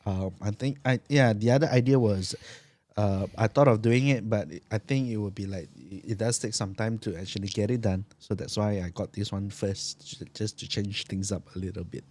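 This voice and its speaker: A man co-hosting a podcast, easygoing in casual chat and often trailing off quietly. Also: lowish voice, moderate pace. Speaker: podcast co-host.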